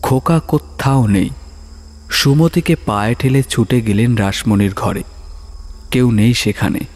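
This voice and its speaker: A man narrating a horror story in a dramatic, suspenseful way, with pauses for effect. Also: medium pitch. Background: soft music.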